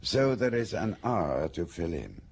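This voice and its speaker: An actor playing a detective's shrewd male confidant in a radio drama, husky and deliberate, asking probing questions. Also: low voice, sing-song, a little mellow, exaggerated voice. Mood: probing, thoughtful.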